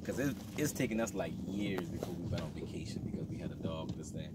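A man's voice: low voice, fast. Rambling man interviewing on a noisy boat. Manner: loose, rambling, quotes dogs and callers. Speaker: interviewer and dog breeder. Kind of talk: casual interview chat. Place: open motorboat on a lake. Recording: distant mic, loud wind and water noise. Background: boat motor and water.

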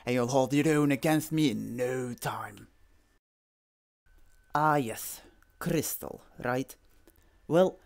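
A stern snake mentor from an animated series, acted by a man. His male voice sits at a middle pitch. He speaks formally and to the point, with the measured authority of an honorable warrior guiding a student. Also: natural voice. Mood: approving. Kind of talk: animated series character acting.

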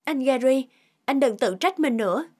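A woman voicing a gentle, supportive young woman in a cartoon, soothing and caring. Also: high voice, lively intonation, normal speed. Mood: concerned, comforting. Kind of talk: dubbed cartoon acting. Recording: loud music over the voice.

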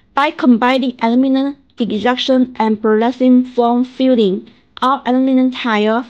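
High-voiced Chinese-accented woman presenting. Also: darkish tone, muffled recording. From China. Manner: halting reading, stumbling over and repeating phrases. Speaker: factory representative presenting products. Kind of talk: scripted promotional narration.

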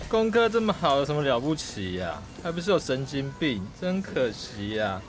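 A man's voice: on the high side, big pitch swings, a little slow. A man playing a mocking, cocky teenage schoolboy bully in a radio drama. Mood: taunting. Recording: music behind the voice.

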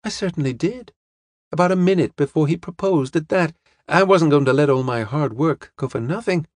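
A man's voice: a little high, moderate pace, no room sound, studio quality, pitched above the performer's own voice, lightly acted. A man voicing an eager, playful young American woman in an audiobook, with a breezy, informal way of talking. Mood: playful, happy.

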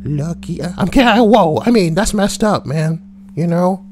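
A man's voice: sits high, expressive, a little slow, faint music behind. A man voicing a brash, foul-mouthed schoolboy, reading posts for comedy and adding slangy, mocking asides. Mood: sardonic, casual. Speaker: host reading forum posts. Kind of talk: comedic reading with crude commentary.

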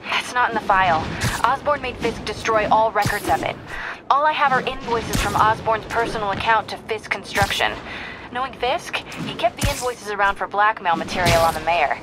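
An earnest, determined young reporter, voiced by a woman, speaks in a middle register. Game music plays clearly behind her. She talks quickly and urgently. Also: medium pitch, close to an everyday voice. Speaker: game character. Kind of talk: video game dialogue.

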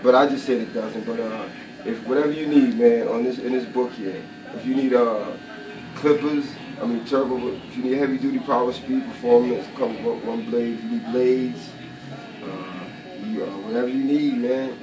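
A man making a casual sales pitch, slangy and repeating himself. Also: steady tone, moderate pace. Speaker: salesman of barber supplies. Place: barbershop, indoors. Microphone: camera a few steps away. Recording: roomy, distant mic, chatter around.

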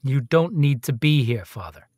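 An actor in a radio play playing a hard-nosed, insinuating Pinkerton detective, pushy and coolly threatening. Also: male voice, medium pitch, animated, moderate pace, darkish tone, no echo, studio quality, lightly acted. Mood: coolly polite.

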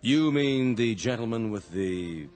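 Casting: polite, formal waiter, low voice, lively and eager to please. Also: male voice, unhurried. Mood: deferential. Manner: deferential, formal. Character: polite, nervous male restaurant waiter. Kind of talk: radio drama acting. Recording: radio play with music underneath.

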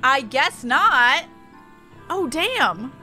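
A woman giving casual game stream commentary in an expressive, playful way, sometimes swearing. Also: high-pitched, drawn-out words, faint music behind.

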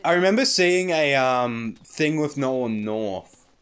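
A man commentating a game he plays, excitable and casual, his voice slightly croaky in the morning. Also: mid-range voice, measured pace. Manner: excitable, swears, shrieks at small things. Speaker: gaming YouTuber. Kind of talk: casual let's play commentary.

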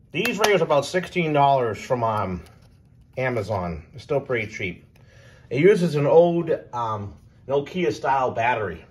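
A man giving a casual, unscripted explainer as a hobbyist presenter, halting, repeating himself and trailing off. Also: fairly high pitch, a little hiss or hum. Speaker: presenter of a radio hobby video.

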